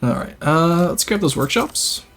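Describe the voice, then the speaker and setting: A man's voice: fairly high pitch, lively intonation, moderate pace, creaky, fairly bright tone, soft background music. A young French Canadian man with a light Québécois accent, chatting casually with his viewers while playing a strategy game. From Quebec, Canada. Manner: chatty, reads and answers chat while playing. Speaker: streamer. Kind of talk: casual gameplay livestream chat.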